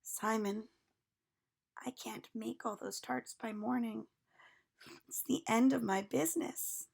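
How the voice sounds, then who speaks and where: A woman's voice: a little high, fairly even intonation, slow pace, gravelly, a little mellow, husky, full character voice. A woman voicing a kindly, easily disheartened male village baker in a storybook, plain and earnest. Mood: dejected.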